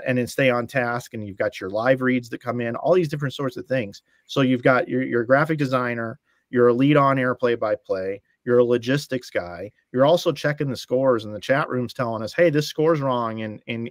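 A man's voice: mid-range voice, moderate pace, no echo. Middle-aged man telling stories over a call. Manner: storytelling, acting out quoted dialogue, restarts phrases. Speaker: guest, bowling stream commentator. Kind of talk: casual podcast chat. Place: room at home. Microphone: video call.

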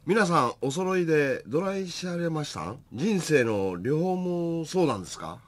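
A man's voice: a little high, very animated, moderate pace. A man playing an affable repair company boss with a Kansai accent in a comic radio drama.

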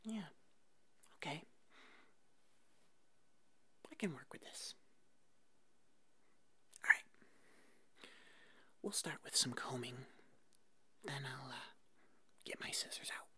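A woman doing an ASMR roleplay as an easygoing puppet hairdresser, speaking in a soft, breathy whisper with short, reassuring phrases. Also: very deep voice, animated, slow pace, pauses often. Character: fuzzy monster puppet hairdresser, attentive and easygoing.

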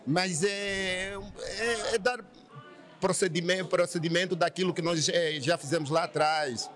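A man from Angola with an Angolan accent, giving a spontaneous interview in a halting, repetitive, emphatic way. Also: sits high, fairly even intonation, crowd noise. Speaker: interviewed community member. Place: indoor hall with draped curtains. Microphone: handheld interview microphone held by interviewer.

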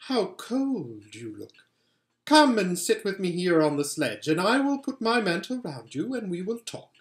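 A man's voice: sits high, big pitch swings, unhurried, pitched above the performer's own voice, exaggerated voice. A man voicing a haughty, menacing evil queen in a storybook reading, with a commanding, pompous manner. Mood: coaxing, sweet. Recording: roomy indoor home recording.

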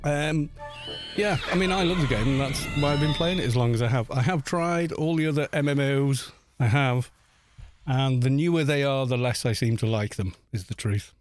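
A man's voice: medium pitch, normal speed. A Welshman in his fifties talks loosely over his game stream, his accent drifting toward northern English. He rambles, laughs easily and thanks viewers in an ordinary mid-range voice. Faint music plays underneath. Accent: Welsh with some northern English. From Wales. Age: 50s. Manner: chatty, rambling, laughs easily, reads chat aloud. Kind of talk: live stream commentary while playing.

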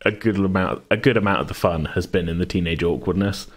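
A man's voice: sits low, quick pace. A man chatting casually as a game master, in a rambling, hedging way. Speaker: game master and podcast host. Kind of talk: casual chat about a tabletop game.

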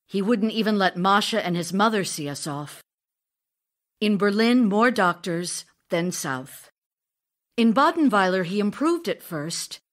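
A woman playing the devoted, wistful wife of an ailing writer in an audio drama, speaking in a tender, measured, earnest way. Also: medium pitch, close to an everyday voice. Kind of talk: audio drama acting, narrated memoir and letters. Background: music with plucked guitar.

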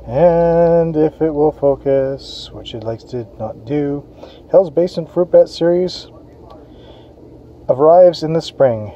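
A man's voice: a little high, dark tone, highs cut off, a little hiss or hum. A man from Western Canada, a parent, giving a casual, chatty beer review on an outdoor patio. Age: adult, parent of kids. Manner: chatty, informal, reads label aloud.

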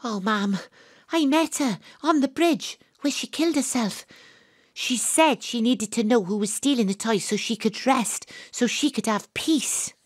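An actress with a Welsh accent playing an excitable young woman investigator in an audio drama, with breathless, suspenseful delivery. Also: fairly high pitch, measured pace, no room sound, studio quality. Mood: agitated, earnest. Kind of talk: audio drama narration and dialogue.

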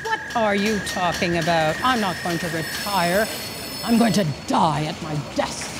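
A woman's voice: on the low side, a little slow, over loud music, natural voice. A woman playing an irritable, secretive, imperious office boss in a staged audio drama. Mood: dismissive. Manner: curt, bossy, theatrical. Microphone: stand microphone on a theatre stage.

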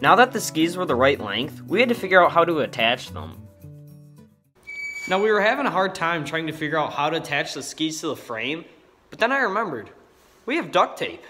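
Teenage male vlogger, slightly high voice, playful narration over guitar music. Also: big pitch swings, brisk, sharp tone. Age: teens. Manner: jokey, upbeat, playful. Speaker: channel host. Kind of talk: casual DIY vlog narration.

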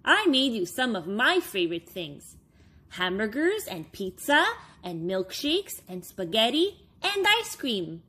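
A woman's voice: fairly high pitch, very animated, measured pace, pushed and bright, breathy edge, exaggerated voice. A woman voicing a lovable, overconfident male furry monster from a storybook, with cheerful, emphatic exclamations.

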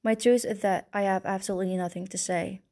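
A woman narrator voicing a remorseful young man who pleads quietly. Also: medium pitch, fairly quick, lightly acted. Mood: pleading.

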